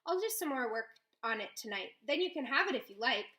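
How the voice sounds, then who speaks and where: A woman voicing a friendly, easygoing boy in a read-aloud storybook, speaking in a warm, inviting way. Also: on the high side, animated, quick pace.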